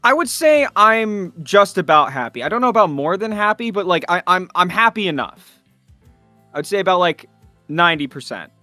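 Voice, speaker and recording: A man's voice: sits high, big pitch swings, normal speed, pushed and bright, dry recording, faint music behind. A man giving thoughtful, earnest interview answers in a conversational way, stressing his key words. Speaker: voice actor being interviewed.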